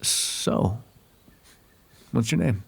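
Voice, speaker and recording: Man acting a sly, breathy-rough male fairy, lively pitch. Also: drawn-out words, heavily acted. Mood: gently curious. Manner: hesitant, intimate, conversational. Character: whimsical, smitten male fairy traveller, softly possessive and sly. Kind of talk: ASMR roleplay voice acting.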